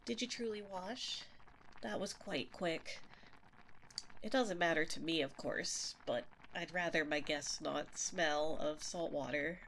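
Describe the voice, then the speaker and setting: A woman's voice: low voice, slow pace, a bit bright, full character voice. A woman playing a seductive, possessive witch in an ASMR audio roleplay, coy and flirtatious with a politely sinister manner. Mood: coy, attentive. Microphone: close binaural 3Dio microphone. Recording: nature sounds and fire crackle behind voice. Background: nature ambience, fireplace.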